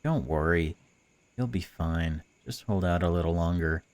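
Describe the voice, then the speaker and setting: Guy reading for a creepy stalker woman in a visual novel, chatty n condescending, low voice. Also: moderate pace, darkish tone. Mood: falsely soothing. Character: cold, mocking female stalker, falsely caring captor. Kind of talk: visual novel dialogue read aloud.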